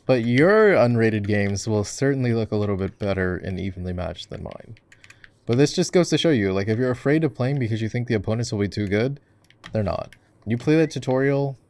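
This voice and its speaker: Low, gravelly young-sounding gamer guy. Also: darkish tone. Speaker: voice actor playing a gamer boyfriend, coaching a game. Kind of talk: casual ASMR roleplay chat while gaming.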